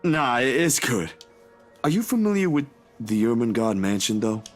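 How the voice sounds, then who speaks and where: A man's voice: medium pitch, sing-song, moderate pace, soft background music, natural voice. A man voicing an earnest young male reporter who asks polite questions.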